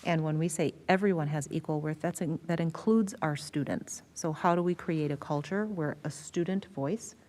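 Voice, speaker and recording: A woman's voice: sits low, normal speed, few pauses, darkish tone. An American woman, a school district superintendent, explaining things in a meeting presentation and repeating words for emphasis. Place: school board meeting room.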